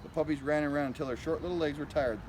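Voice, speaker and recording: A man's voice: a little high, steady tone, quick pace, noisy background. A man reading a picture book aloud to children as a school principal, in simple narration with asides about the pictures.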